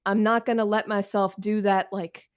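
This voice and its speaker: A woman's voice: medium pitch, level tone, moderate pace, smooth. A woman answering interview questions as a writer, candid and self-deprecating, with slangy, conversational phrasing. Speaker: guest, professional writer.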